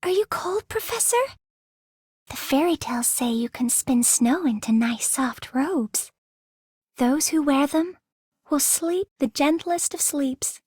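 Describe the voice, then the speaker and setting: An actress playing a gentle, dreamy young woman in a video game, speaking softly and soothingly. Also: high voice, animated, dry recording, clean studio sound. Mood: tender, sleepy. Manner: soft, unhurried, soothing. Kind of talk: video game character voice line.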